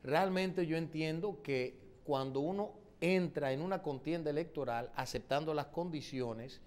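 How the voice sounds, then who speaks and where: A middle-aged man as a guest on a TV studio interview, answering in a measured, formal, explanatory way on a lapel mic. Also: on the high side, a little mellow. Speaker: political guest.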